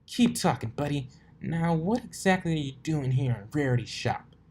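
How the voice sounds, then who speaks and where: A man's voice: a little high, animated, normal speed, a little mellow, voice pushed up. A man voicing a brash, combative tomboy pegasus mare in an amateur storybook reading, demanding and emphatic, indignant and suspicious. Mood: confrontational.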